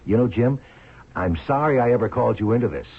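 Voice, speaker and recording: An actor playing a reluctant, puzzled police detective in a radio drama, loyal but doubtful, with a husky, halting delivery. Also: male voice, mid-range voice, lively intonation. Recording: thin, phone-like old radio recording.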